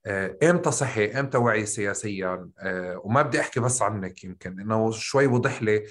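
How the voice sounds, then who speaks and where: Fast-talking Palestinian man, lowish voice. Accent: Palestinian, Tulkarm rural with qaf. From Tulkarm, Palestine. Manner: thoughtful, searching for words. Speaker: interviewer. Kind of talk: conversational interview.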